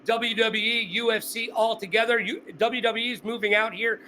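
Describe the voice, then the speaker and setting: A man's voice: extremely high pitch, flat delivery, normal speed, sharp tone, slight background noise. A man hosting an interview, casual, joking and chatty. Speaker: interview host. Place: Las Vegas.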